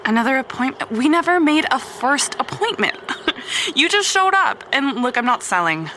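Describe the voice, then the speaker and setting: A woman plays a fed-up homeowner in a naturalistic audio drama. Her voice is bright and tense and very animated, curt and sarcastic, with slight noise behind it. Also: sits high, moderate pace, exaggerated voice. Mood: exasperated, curt. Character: exasperated female homeowner firmly refusing a pest.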